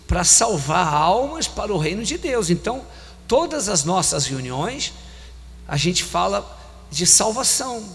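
A man in his forties with a Brazilian Portuguese accent, preaching a sermon on a handheld mic, repeating phrases with emphasis and slowing down on key lines. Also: on the high side, a bit bright. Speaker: bishop preaching. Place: large church hall. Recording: long church hall reverb, faint hum.

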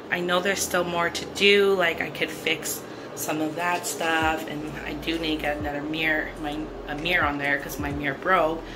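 A woman's voice: fairly low pitch, noisy background. A woman chatting casually as she shows off her freshly cleaned bathroom at home, upbeat and repeating herself. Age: adult with a son. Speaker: cleaning vlogger. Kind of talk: casual show-and-tell vlog. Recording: music playing behind her voice.

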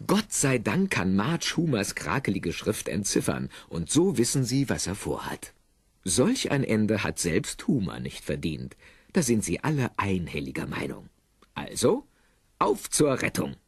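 A man with a husky voice narrating an audio drama in an animated, wry storytelling style. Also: lowish voice, a little slow, dry recording, exaggerated voice. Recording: dry cassette studio narration over music. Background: music.

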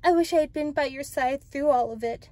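Young female idol from a novel, read by a woman, higher-pitched, earnest, pleading, full of regret. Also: brisk, darkish tone, no echo, natural voice. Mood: remorseful pleading. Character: tearful, self-absorbed young woman pop idol, earnestly remorseful. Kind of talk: dramatic book read-aloud.

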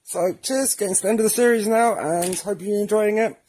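A man's voice: high voice, a little fast, a little mellow, slightly rough. A man presenting a casual how-to demonstration, speaking informally and in a friendly way to viewers. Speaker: presenter of a product tutorial series.

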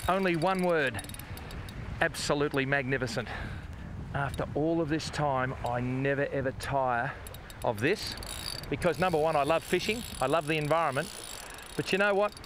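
A man's voice: a little high, normal speed. An older Australian man hosting a fishing show, talking to viewers in a folksy, exclamatory way from a beach. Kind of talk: enthusiastic outdoor commentary. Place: outdoors on a sandy beach by the sea. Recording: outdoor wind and water noise.

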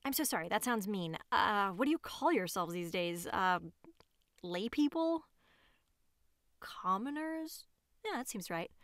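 A woman playing a scatterbrained, self-important disgraced neuroscientist in an audio drama, halting and digressive as she justifies herself. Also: medium pitch, expressive, a bit bright, no room sound, clean studio sound. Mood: aggrieved. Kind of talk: audio drama monologue as if recording a homemade show.

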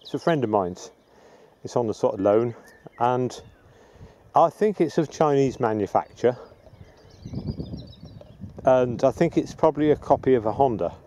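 A middle-aged man giving a casual, unhurried, matter-of-fact gardening vlog demonstration in a rambling way. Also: mid-range voice, animated, dark tone. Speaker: allotment gardener presenting own video. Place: outdoors on an open allotment plot. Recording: outdoor background noise with birdsong.

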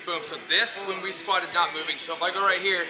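A man's voice: sits high, fairly even intonation, fast. Upbeat male gym coach giving cues. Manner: gives short step-by-step cues with upbeat encouragement. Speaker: fitness coach. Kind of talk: hands-on coaching instruction. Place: large indoor gym. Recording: echoey gym with loud music.

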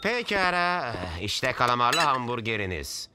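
Gruff cartoon cook, dry and sardonic, lively pitch, noisy background. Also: male voice, unhurried. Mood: dry serving. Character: gruff, dry burly bearded male diner cook. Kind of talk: dubbed cartoon acting.

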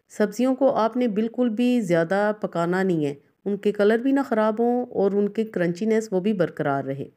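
Female recipe narrator, mid-range and mellow voice, instructive voice-over delivery. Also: mid-range voice. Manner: instructive, addresses viewers directly. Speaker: cooking show host.

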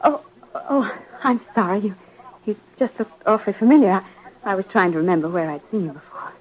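A woman's voice: mid-range voice, animated, fairly quick, natural voice. An actress playing a desperate, scheming young woman in love, melodramatic and pointed, in old-time radio drama. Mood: wounded, agitated. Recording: dull, noisy old radio recording.

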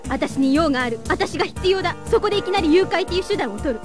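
A woman playing a defiant, sharp-tongued high school girl, indignant and forthright, in an audio drama. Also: expressive, fast talker, music in the background.

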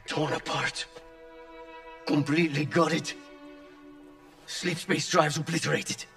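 A man voicing a frightened, bitter pilot who speaks sarcastically and breaks into desperate shouts. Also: on the high side, little pitch movement, slow pace, gravelly, recorded from a distance, heavily acted. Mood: angry despairing. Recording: game audio with music, off-mic.

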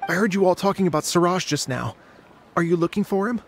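An actor playing a modest, helpful young male student in a game, polite and self-deprecating, with a gravelly edge. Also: high voice, quick pace, slight background noise.

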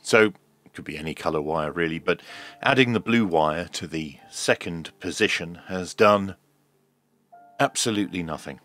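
A man giving a hands-on tech demonstration in a measured, hesitant way, as if thinking aloud. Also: sits low, fairly bright tone. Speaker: host of a radio repair video.

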